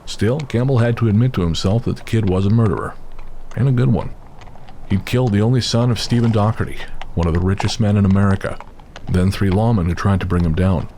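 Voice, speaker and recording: A man's voice: on the low side, brisk, dark tone, a little hiss or hum, lightly acted. A man giving scripted narration as the storyteller of a western horror audio drama. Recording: music bed behind the voice.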